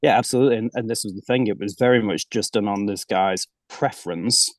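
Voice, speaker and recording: A man from the UK talks about coaching kids as an interview guest. His voice sits fairly low. He speaks casually, often repeating words as he restarts, and the sound is dry with no room echo. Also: fairly quick. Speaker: golf coach abroad, guest.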